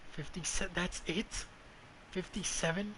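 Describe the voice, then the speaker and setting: Blunt higher-voiced man over noisy background. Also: steady tone, measured pace. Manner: blunt, foul-mouthed, mutters to self. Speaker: game streamer. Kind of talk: casual gameplay commentary.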